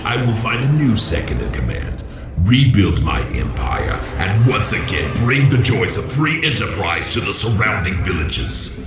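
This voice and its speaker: An actor playing a pompous, menacing demon merchant in a fantasy video game, theatrical and sarcastic. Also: male voice, low-pitched. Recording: roomy, distant, music underneath.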